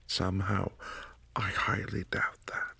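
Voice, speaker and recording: A man playing a possessive, imperious vampire prince in a boyfriend ASMR roleplay, speaking in a formal, commanding, softly menacing way. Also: low-pitched, monotone, unhurried, vocal fry, husky, dry recording, exaggerated voice. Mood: cool, imperious.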